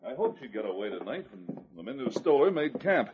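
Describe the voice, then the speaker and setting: An actor playing a kindly, determined Mountie sergeant in a measured, authoritative vintage radio adventure style. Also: male voice, medium pitch, sing-song, a crowd in the background, close to an everyday voice. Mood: resolute. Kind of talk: 1940s-50s radio adventure acting. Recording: tinny, noisy vintage radio recording.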